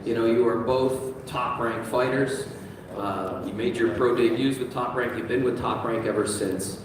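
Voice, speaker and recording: A man's voice: medium pitch, steady tone, normal speed, background noise. A man hosting a boxing press conference, asking the fighters questions. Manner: hyped announcer build-up at the close. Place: large event room. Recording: echoey hall picked up from far off.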